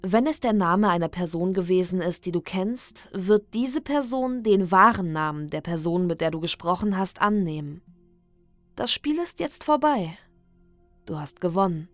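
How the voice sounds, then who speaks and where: A woman reading a scripted creepy ritual guide as a horror narrator, in a measured, deliberate, instructional manner. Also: lowish voice, animated, no room sound. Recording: dry studio voice over background music. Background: background music.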